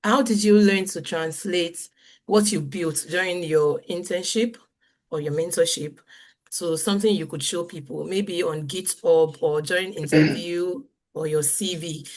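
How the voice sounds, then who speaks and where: Woman, host, friendly, lowish voice, brisk questions. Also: darkish tone. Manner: friendly, laughs lightly, quick when reading questions. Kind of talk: reading audience questions in online interview.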